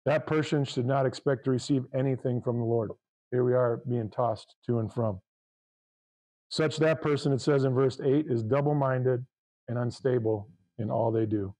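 A man delivers a sermon with a loud voice and fervent conviction. His tone is warm and mellow, and he reads scripture aloud to his congregation. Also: mid-range voice, fairly even intonation, normal speed, rough recording. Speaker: pastor.

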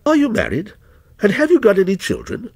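A cold, insinuating stranger, as a male reader puts him on, pitched well above the narrator. His husky, gravelly delivery sits in a dry, close space, and he slides between sharp highs and lows. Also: huge pitch swings, brisk, no echo, exaggerated voice. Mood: needling. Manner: insinuating, needling questions. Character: aloof scornful man, coldly probing.

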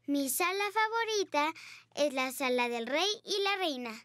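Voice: high voice, expressive, measured pace, fairly bright tone, no room sound, clean studio sound. A performer voicing a cheerful, inquisitive little girl pig in a children's cartoon.

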